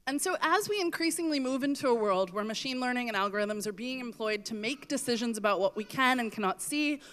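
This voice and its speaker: A woman's voice: fairly high pitch, animated, brisk, a bit bright. A woman in her thirties giving a festival talk on stage with a handheld mic, losing her voice, earnest and self-correcting. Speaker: festival speaker. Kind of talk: conference talk. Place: large festival tent stage.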